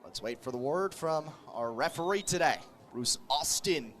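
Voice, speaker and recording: A man with a high-pitched voice gives live radio play-by-play of American football. He speaks as the announcer, following each movement on the field in short, vivid, clipped phrases. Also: animated, moderate pace, a bit bright. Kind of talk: live sports play-by-play commentary.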